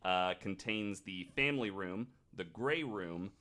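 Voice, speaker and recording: Man, low-pitched voice, American podcast host, casual storytelling, halting and conversational. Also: bright, forceful tone. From United States. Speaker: podcast host telling ghost stories.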